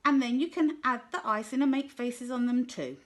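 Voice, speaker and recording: A woman voicing a kind, cheerful infant-school teacher who speaks in a bright, encouraging way. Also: fairly high pitch, normal speed, lightly acted. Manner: bright, encouraging, gently teasing.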